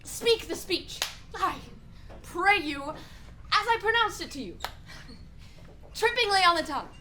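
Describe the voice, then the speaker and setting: A woman's voice: very high-pitched, expressive, a little slow, crowd noise. A woman acting a bitter, mocking, volatile young prince in a Shakespearean radio play. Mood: instructive, exacting. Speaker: actor playing Hamlet.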